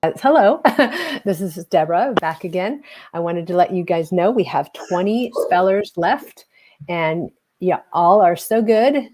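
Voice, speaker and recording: A woman's voice: lowish voice, expressive, measured pace, a little mellow. A woman hosting an online spelling bee in a chatty, rambling way, laughing often, over a video call.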